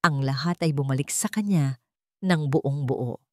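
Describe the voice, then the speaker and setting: A woman reading a scripted love story as narrator, solemn and measured, with dramatic pauses. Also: sits low, dry recording, clean studio sound.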